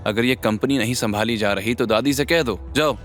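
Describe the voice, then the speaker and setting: A man's voice: mid-range voice, moderate pace, a bit bright, faint music behind, close to an everyday voice. A man playing a cocky, defiant young husband in an audio drama, blunt and nonchalant. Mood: taunting.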